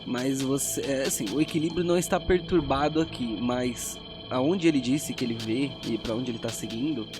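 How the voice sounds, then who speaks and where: A man narrating a tabletop fantasy story as game master, in a grave, deliberate storytelling manner. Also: a little high. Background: faint music.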